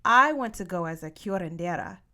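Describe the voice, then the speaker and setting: A woman's voice: fairly low pitch, lively intonation, fairly quick, bright, forceful tone. A woman voicing a curious, eager young girl in a story reading. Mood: eager, insistent.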